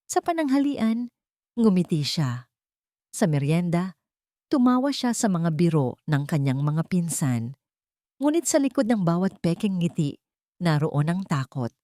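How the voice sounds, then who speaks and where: A woman's voice: on the low side, darkish tone, no echo, studio quality. A woman reading a scripted romance story as a dramatic narrator.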